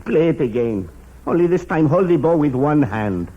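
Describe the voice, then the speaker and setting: An actor playing an exasperated French violin teacher with an accent and emphatic broken English, in old radio comedy style. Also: male voice, fairly high pitch, very animated, normal speed. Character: exasperated French male violin professor, begging for his fee. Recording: dull, noisy old radio broadcast.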